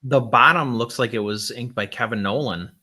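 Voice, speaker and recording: A man's voice: medium pitch, normal speed, bright, forceful tone. A man co-hosting a casual video-call chat, laid-back with short reactions. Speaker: co-host.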